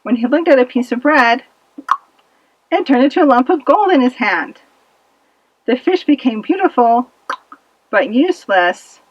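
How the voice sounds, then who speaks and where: A woman narrating a children's puppet-show fable with expressive, dramatic delivery, in a slightly muffled recording. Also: sits high, a little slow, long pauses, breathy edge, no echo. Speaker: storyteller.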